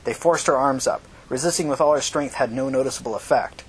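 A man's voice: fairly quick, husky. A man narrating dramatic fiction as an audiobook reader. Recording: noisy recording with low rumble.

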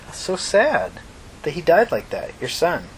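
Man reading as an earnest, high-pitched young woman. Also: wildly swooping pitch, measured pace, a little hiss or hum, rough recording. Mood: sympathetic. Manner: questioning, blunt, sympathetic. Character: earnest, inquisitive young woman, a little bitter.

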